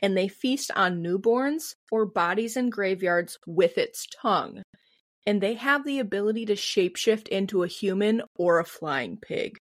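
A woman's voice: mid-range voice, animated, normal speed, dry recording, studio quality. A woman with a Midwestern American accent, co-hosting a podcast with casual, comedic storytelling in a giggly, playful manner. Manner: giggly, playful, acts out quotes. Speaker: podcast co-host.

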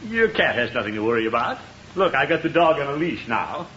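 A man's voice: mid-range voice, very animated, fairly quick, noisy background, lightly acted. An actor playing a pompous, blustering middle-aged man in a 1950s radio sitcom, chuckling and flustered. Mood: hearty. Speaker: uncle and head of household. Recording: dull 1950s radio recording.

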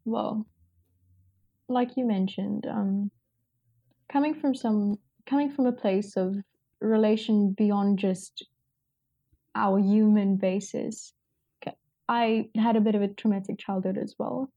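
A woman's voice: medium pitch, steady tone, slow, creaky, pauses often, no room sound, muffled recording. A woman guest, a child advocate, speaking in a casual interview, hesitant and searching for words.

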